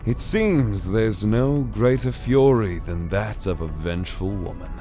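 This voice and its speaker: Man playing a suave, deep-voiced gentleman thief. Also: expressive, a little slow, soft background music. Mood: wry, evasive. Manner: flowery, mock-courteous, theatrical. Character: suave, cheeky male master thief, wryly polite. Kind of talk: audio drama acting.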